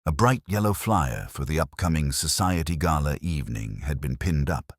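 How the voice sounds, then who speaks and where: A man narrates a scripted mystery in a very low register. His delivery moves expressively up and down, with a smooth, resonant tone and no room sound. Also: animated, normal speed, no echo, clean studio sound. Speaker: narrator and audiobook-style reader.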